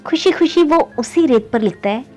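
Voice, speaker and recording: A woman's voice: expressive, normal speed, a little mellow, soft background music. A woman narrating a moral story in a conversational way, often addressing listeners as friends and lifting her voice at each new turn of the tale. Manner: keeps calling listeners "friends", pitch rising on story beats.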